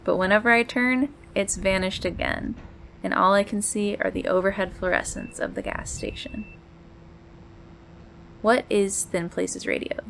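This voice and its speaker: A woman playing a mysterious, tender host of an otherworldly late-night radio show, speaking in a measured, cryptic, intimate monologue. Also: mid-range voice, steady tone, creaky, a bit bright, slight background noise, rough recording. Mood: wry, uneasy, then inviting, wry. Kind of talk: scripted monologue in a fiction podcast styled as radio.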